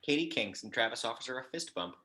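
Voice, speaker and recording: A man's voice: mid-range voice, lively intonation, fast, pushed and bright. A man reading action descriptions as narrator in an amateur screenplay table read.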